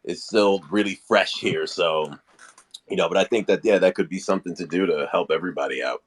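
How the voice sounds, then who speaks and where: A deep-voiced man gives his view in a casual group discussion. He rambles along in a laid-back way, thinking aloud and padding his sentences with small filler phrases. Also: brisk. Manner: rambling, laid-back, says "you know" often. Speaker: panel participant.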